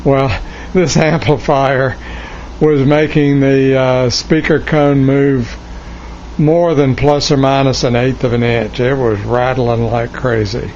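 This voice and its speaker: A man giving a casual, unscripted show-and-tell as a hobbyist, thinking aloud in a hesitant, halting way. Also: medium pitch, little pitch movement, darkish tone. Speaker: hobbyist restorer of vintage radio gear. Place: cluttered indoor electronics workshop. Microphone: handheld camera mic, behind the lens. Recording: dull lo-fi camera audio, faint noise.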